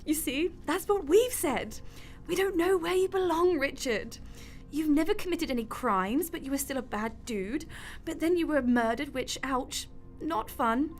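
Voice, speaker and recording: A woman's voice: high voice, animated, measured pace, soft background music. A woman acting in a radio drama as a breezy, glib God who runs the afterlife with chatty, flippant matter-of-factness. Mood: flippant, exasperated.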